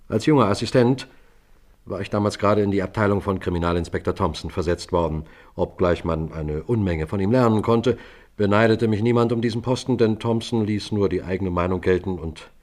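A man's voice: sits low, close to an everyday voice. A man playing a composed police sergeant who narrates a radio crime drama in a precise, formal manner. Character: composed police sergeant recounting an old case.

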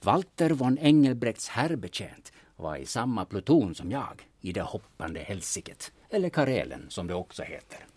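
A man playing a shrewd, secretive older veteran turned sleuth in an audio drama, husky and deliberate in a theatrical way. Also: fairly low pitch, sing-song, darkish tone, no echo. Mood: intense, explaining. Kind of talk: serial audio drama acting.

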